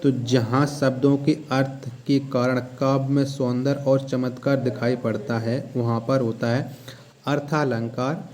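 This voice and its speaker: A man's voice: mid-range voice, darkish tone. A man teaching a question-and-answer exam lesson in an instructional way, repeating questions and key words.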